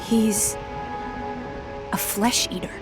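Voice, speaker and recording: A woman playing proud warrior, measured pace. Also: medium pitch, fairly even intonation, lightly acted. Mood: grave. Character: proud, blunt young woman warrior with hidden hurt. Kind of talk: video game cutscene acting. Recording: music behind the voice.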